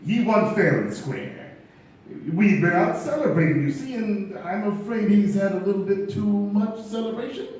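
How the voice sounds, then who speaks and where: An actor playing a suave, menacing gambler and killer with florid, mock-courteous speech in a live stage radio play. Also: male voice, high voice, moderate pace, darkish tone, lightly acted. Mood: oily politeness. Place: theater stage before an audience. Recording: echoey theater, distant mic, background noise.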